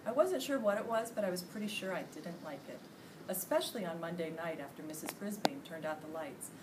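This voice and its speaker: A woman's voice: fairly low pitch, lively intonation, brisk. A middle-aged American woman reading a children's book aloud expressively, like a teacher in a classroom. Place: classroom, indoors. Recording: distant mic, loud room noise.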